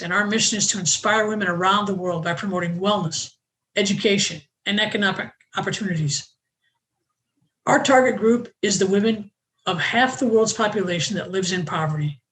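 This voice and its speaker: A woman hosting an online panel, giving a measured, scripted welcome and introduction. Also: medium pitch, slight background noise, rough recording. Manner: measured, reading from a prepared script. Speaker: host.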